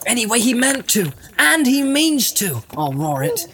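A man's voice: extremely high pitch, sing-song, measured pace, bright, forceful tone, a little hiss or hum, pitched above the performer's own voice, heavily acted. A man voicing a loyal, rustic hobbit servant for a storybook, blunt, indignant and suspicious.

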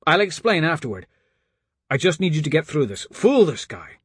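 A man voicing an anxious young man in charge, pleading and pressing out hurried instructions as in an audiobook. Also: fairly high pitch, very animated, slightly rough, dry recording, studio quality, pitched above the performer's own voice, heavily acted. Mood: urgent, pleading. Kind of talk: audiobook character dialogue.

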